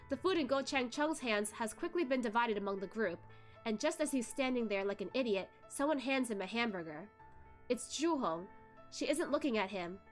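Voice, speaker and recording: High-voiced young woman reading a novel aloud. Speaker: book club host reading aloud. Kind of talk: narrative read-along of a novel.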